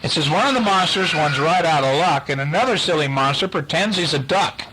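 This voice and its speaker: A man's voice: on the high side, lively intonation, a little fast. A man reading a picture book aloud to young children, chatting and quizzing them, acting out sound effects playfully in a noisy home recording.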